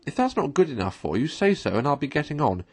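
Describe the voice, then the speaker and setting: A man voicing a conceited, excitable toad from a storybook in a pompous, theatrical, emphatic manner. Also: mid-range voice, quick pace, pitched above the performer's own voice. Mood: bargaining, pompous.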